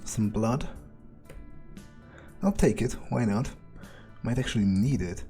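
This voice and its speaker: A man's voice: medium pitch, slow, warm tone, faint music behind. A man voicing a cynical, amused, ruthless vampire as he improvises in-character roleplay in a video game. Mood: musing. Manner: hesitant, musing, sardonic, swearing.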